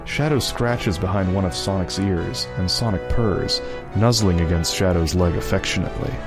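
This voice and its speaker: A man's voice: low-pitched, normal speed, music in the background. A man narrating a fan fiction script, reading out scene headings and stage directions.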